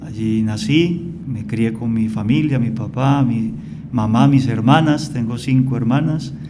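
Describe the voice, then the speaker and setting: A man from Colombia, a priest guest on a radio interview, explaining at length in a calm, storytelling way. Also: mid-range voice, unhurried, noisy background.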